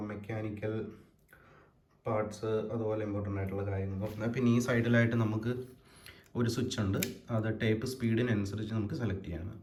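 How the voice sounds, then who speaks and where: A man with a Kerala accent giving an unscripted hands-on demonstration, explaining in a halting way as he searches for words. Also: fairly low pitch, darkish tone. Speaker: presenter of a gadget demonstration. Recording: echoey room with background hiss.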